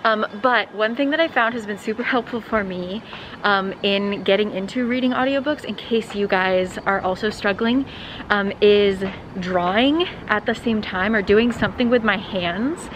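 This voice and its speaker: A young woman talks casually into a handheld camera outdoors on a city street. Her delivery is informal and animated, with an average pitch and wandering asides. Wind and traffic noise run clearly under her voice. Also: moderate pace. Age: young adult. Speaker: vlogger and host. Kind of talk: casual chatty vlog talk to camera. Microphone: handheld camera at arm's length.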